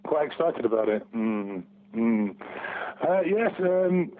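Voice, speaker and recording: A man's voice: mid-range voice, sing-song, slow pace, narrow, tinny audio, slight background noise. Casting: man, forties, hesitant phone-in guest, heavy line filtering. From Barnsley, England. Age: 40s. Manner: hesitant, many restarts and ums. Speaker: guest fan. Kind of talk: casual phone interview. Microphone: telephone.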